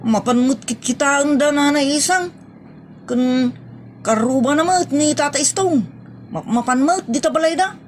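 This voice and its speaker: A woman plays a nosy village gossip with an Ilocano accent in a comedy audio drama. Her voice is very high, over clearly noisy background ambience. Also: measured pace. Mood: curious, nosy.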